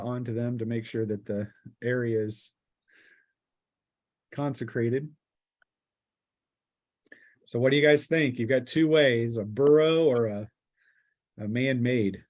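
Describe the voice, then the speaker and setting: A man narrating a tabletop game as game master, improvising descriptions haltingly and thinking aloud. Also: mid-range voice, darkish tone.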